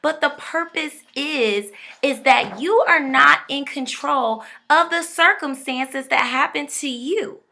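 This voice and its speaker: Bright, emphatic woman motivational speaker. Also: sits high. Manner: preachy, emphatic, addresses viewers directly. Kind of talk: motivational talk to camera.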